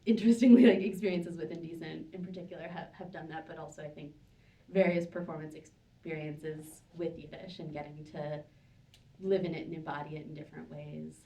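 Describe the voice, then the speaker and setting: Woman, low-pitched, halting, many fillers, distant mic, echoey hall. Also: fairly even intonation, dark tone. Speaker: panel guest. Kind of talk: casual live panel sharing. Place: synagogue hall, live audience.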